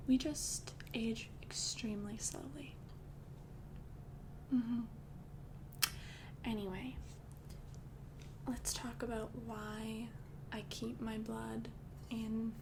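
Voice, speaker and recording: Woman playing a vampire girlfriend for ASMR: soft chatty whisper, light fry, raised pitch, hiss behind. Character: calm young female vampire girlfriend. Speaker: ASMR creator. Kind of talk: ASMR roleplay.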